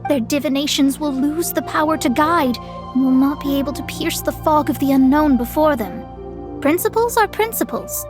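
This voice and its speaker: A woman's voice: high voice, normal speed, lightly acted. A woman playing a proud, formal young astrologer with theatrical delivery in a video game. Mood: indignant, proud. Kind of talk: video game character acting. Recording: game dialogue with music behind.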